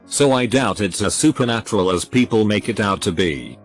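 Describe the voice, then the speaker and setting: A man narrating reader-submitted stories in a steady scripted read, spelling out abbreviations letter by letter. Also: fairly low pitch, a little fast. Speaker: narrator reading forum posts. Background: faint background music.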